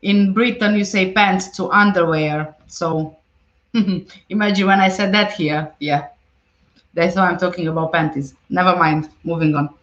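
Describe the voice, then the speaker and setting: A woman's voice: lowish voice, fairly even intonation, a little slow, a bit bright, echoey room, highs cut off. A woman hosting a casual livestream, reading viewer comments aloud and answering them conversationally. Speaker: livestream host. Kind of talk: casual livestream chat about a case.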